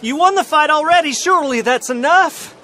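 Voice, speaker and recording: A man's voice: very high-pitched, fairly quick, pushed and bright, a little hiss or hum. An actor playing a nervous, insistent townsman in a Western game cutscene. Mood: pleading. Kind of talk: video game cutscene acting.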